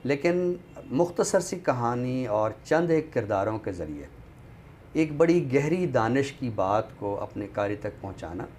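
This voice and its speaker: A man with a Pakistani accent discussing books in a deliberate, scholarly way, often pausing mid-sentence. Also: medium pitch, lively intonation, a little mellow. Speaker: literary show presenter. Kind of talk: book discussion in Urdu literary talk. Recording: noticeable background noise.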